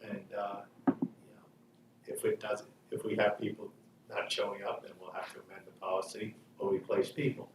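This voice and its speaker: A man chairing an informal village meeting, talking in a halting, matter-of-fact way. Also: lowish voice, little pitch movement. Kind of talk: informal council meeting discussion. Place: meeting room, picked up from a distance. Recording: echoey room, distant mic, faint noise.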